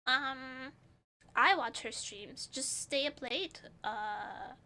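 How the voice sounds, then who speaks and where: A woman's voice: high-pitched, extremely slow, pushed and bright. A woman from Portugal, chatting casually with her live chat as a streamer, giggly and rambling.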